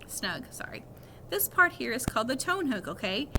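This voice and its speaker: Woman playing an upbeat, bright-voiced male ear doctor. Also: fairly high pitch, big pitch swings, moderate pace, a little hiss or hum, full character voice. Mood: upbeat explaining. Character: friendly, reassuring male ear doctor, jokey and patient. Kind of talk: storybook read-aloud.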